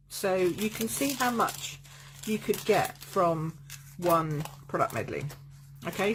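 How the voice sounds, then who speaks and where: Woman, croaky with a cold, chatty live craft demo host, medium pitch, noticeable background noise. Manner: chatty, greets viewers by name. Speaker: card-making demonstrator.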